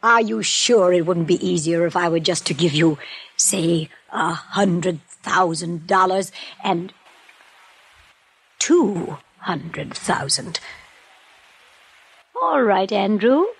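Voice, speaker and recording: An actress playing a wealthy, scheming older woman who feigns sweet innocence and pleads in a genteel, breathy voice, in a 1950s radio drama. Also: fairly low pitch, animated, takes it slowly, pauses often, heavily acted. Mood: coolly scheming.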